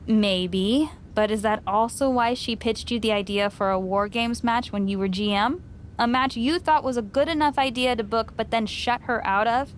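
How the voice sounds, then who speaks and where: A woman's voice: fairly high pitch, normal speed, a little hiss or hum, natural voice. A woman voicing a wry female friend in a game, with teasing, dry sarcasm. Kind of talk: game cutscene dialogue.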